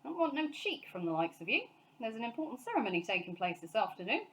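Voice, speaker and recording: A woman's voice: a little high, big pitch swings, quick pace, slight background noise. An officious uniformed gatekeeper from a children's storybook, acted by a woman. He is stern and sneering, his sarcasm swinging up and down with dismissive relish. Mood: stern, indignant.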